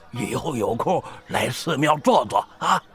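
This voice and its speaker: An actor playing an excitable, eager old beggar with a raspy, creaky voice in an audio drama. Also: male voice, medium pitch, unhurried, exaggerated voice. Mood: cheerful. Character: excitable, grateful old beggar turned temple helper.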